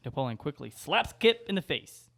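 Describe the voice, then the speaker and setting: A man narrates a comedy script's stage directions. His voice is a little high and very animated in pitch. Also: a little fast, creaky.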